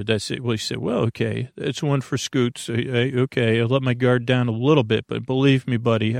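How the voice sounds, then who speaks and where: A man hosting a sleep podcast, talking in a meandering, halting way, often restarting sentences and answering imagined objections. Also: medium pitch, creaky, dry recording, studio quality. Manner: voices imagined listener objections and answers them. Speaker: podcast host. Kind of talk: rambling, unscripted sleep podcast introduction.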